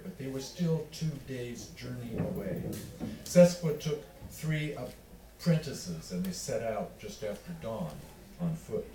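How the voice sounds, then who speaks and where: Mellow man reading fiction, roomy and distant. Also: lowish voice. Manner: measured, deliberate phrasing with pauses. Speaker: author reading own work. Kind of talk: literary reading of narrative fiction. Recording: roomy, distant miking with faint noise.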